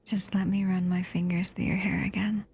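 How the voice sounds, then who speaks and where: A woman playing an affectionate, possessive monster lover in an intimate audio roleplay, speaking in a tender, breathy murmur. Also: mid-range voice, little pitch movement, a little mellow. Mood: cozy, intimate. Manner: tender, unhurried, doting murmur.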